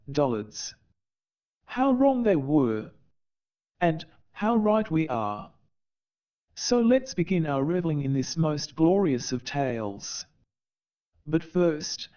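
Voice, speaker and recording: A man playing a theatrical owl narrator who hosts a comic stage play with grandiloquent, wry, deliberate delivery. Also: a little high, a little mellow, dull audio. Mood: wry, scornful. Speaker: narrator and host.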